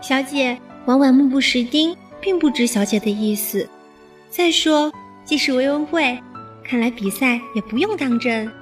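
A woman's voice: high voice, normal speed, lightly acted. A woman playing a dutiful, caring young maidservant in a Chinese period audio drama, deferential and fussing. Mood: dutiful, concerned. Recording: distant, music behind.

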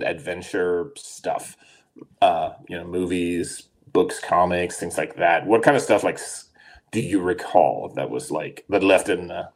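A man in his forties from Denmark, co-hosting a podcast in casual chat, rambling with many fillers and restarts. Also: deep voice. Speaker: podcast co-host.

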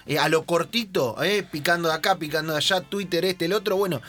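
Man, Argentine accent, radio host, slightly high pitch, colloquial. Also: fairly bright tone. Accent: Argentine Spanish. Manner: colloquial, quick asides. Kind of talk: casual radio interview chat.

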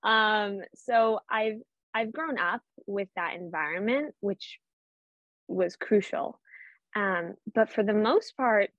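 A woman's voice: medium pitch, slow, no echo. A seventeen-year-old girl with a Boston accent, answering interview questions earnestly with frequent ums. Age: teens. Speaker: podcast guest. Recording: dull lo-fi sound.

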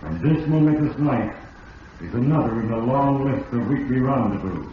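A man's voice: mid-range voice, expressive, lightly acted. Man acting as echoing, portentous voice of Fate. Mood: solemn, ominous. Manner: grave, portentous, deliberate delivery. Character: Fate, a solemn, implacable male narrator passing judgment. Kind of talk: 1940s radio drama narration. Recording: muffled, echoing old radio recording, distant, hissy.

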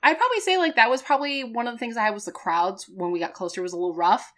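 A woman's voice: a little high, rapid-fire. A woman with a New England accent, co-hosting a podcast and telling stories in casual chat, with lots of fillers. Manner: chatty, animated storytelling with many fillers. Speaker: podcast co-host.

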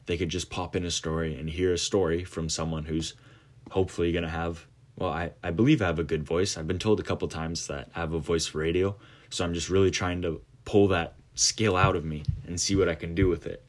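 A nineteen-year-old man hosts his own small podcast, talking off the cuff about his plans. His voice sits very low, and he wanders through his thoughts with plenty of ums, uhs and restarts. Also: fairly even intonation, fairly quick. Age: late teens. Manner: rambling, many fillers and restarts. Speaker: podcast host. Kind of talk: casual monologue.

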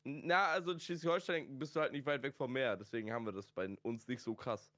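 A man's voice: medium pitch, fast talker, a bit bright, no echo, clean studio sound. A man chatting casually and telling slangy anecdotes as a podcast guest. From northern Germany. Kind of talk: casual chat.

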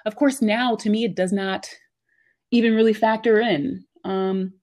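An American woman speaks as a guest in a relaxed interview format. Her voice sits at an average pitch for a woman. She talks informally and chattily, telling personal stories with plenty of "like" and "you know". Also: measured pace. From United States, Philadelphia area. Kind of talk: casual conversational storytelling.

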